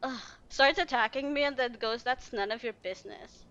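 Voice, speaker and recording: Female gameplay commentator: high-pitched, playful, sarcastic, gushing; muffled lo-fi capture with faint music. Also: moderate pace. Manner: excitable, gushing, sarcastic asides. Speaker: streamer reacting to a game. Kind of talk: playful gameplay commentary, reading choices aloud. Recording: dull lo-fi mic, faint music.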